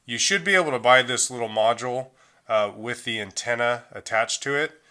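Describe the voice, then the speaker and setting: Bright-voiced man explaining a phone repair. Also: medium pitch, a little fast, clear, resonant tone. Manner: relaxed, loose delivery with frequent fillers. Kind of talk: casual step-by-step repair tutorial.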